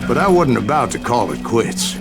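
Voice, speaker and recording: A man's voice: medium pitch, big pitch swings, moderate pace, vocal fry, loud music behind the voice. An actor playing a stubborn, haunted old gunslinger who narrates his tales theatrically in a western game. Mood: brooding. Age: older. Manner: theatrical frontier storyteller. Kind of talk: dramatic storytelling narration in a western video game.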